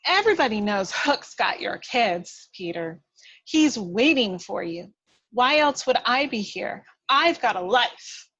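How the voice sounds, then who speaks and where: A woman's voice: fairly high pitch, expressive, measured pace, fairly bright tone, breathy edge, dull audio, heavily acted. A woman playing a tiny, feisty, bossy fairy with a scornful, theatrical delivery in a script read-through. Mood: taunting. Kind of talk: hobby table read acting.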